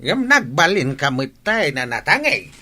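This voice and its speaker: An old farming grandfather in a radio play. His voice is high and bright, and it swings animatedly as he bluntly scolds. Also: sing-song, measured pace, full character voice. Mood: scolding. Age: older. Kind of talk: regional radio drama acting.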